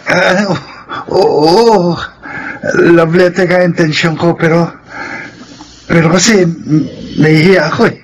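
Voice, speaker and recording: Casting: actor for nervous young Filipino suitor in radio romance, slightly high pitch, bashful halting delivery, faintly noisy recording. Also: male voice, fairly even intonation, natural voice. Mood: flustered, embarrassed. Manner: hesitant, stammering, timid. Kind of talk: Filipino radio drama acting.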